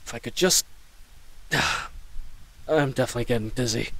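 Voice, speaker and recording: A man's voice: mid-range voice, unhurried, fairly bright tone. A man playing a flustered, chatty knight in a scripted audio roleplay, rambling nervously and stammering. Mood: flustered muttering. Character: babbling, flustered young male knight caught in a snare.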